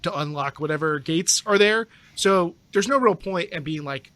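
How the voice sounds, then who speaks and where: Higher-voiced man chatting on a podcast. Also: normal speed, fairly bright tone, no room sound. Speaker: podcast panelist. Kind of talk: casual podcast discussion.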